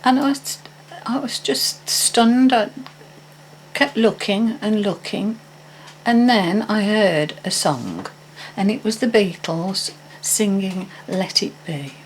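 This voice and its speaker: A woman's voice: mid-range voice, takes it slowly, slight background noise, low-quality recording. An older woman telling a personal story in a casual, hesitant, meandering way with frequent restarts. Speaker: vlogger.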